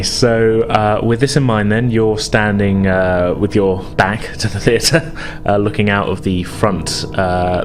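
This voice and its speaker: A man with a fairly low voice narrates a tabletop game as game master. He is conversational and tentative, building the scene as he goes. Music plays clearly behind him.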